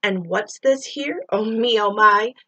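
A middle-aged woman doing an expressive read-aloud of a rhyming children's story, drawing out words and sound effects like a teacher reading to pupils. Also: medium pitch, unhurried.

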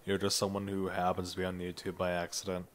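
Man playing a deep, deadpan goth student. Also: fast talker, close to an everyday voice. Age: young adult. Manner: deadpan, laconic. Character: laconic, deadpan male goth student bandmate. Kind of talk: ASMR roleplay audio drama.

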